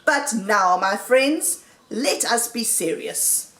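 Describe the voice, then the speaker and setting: A woman's voice: a little high, lively intonation, slow, fairly bright tone, echoey room. A woman voicing a boastful, jubilant male fox who gives grand, rallying speeches in a storybook.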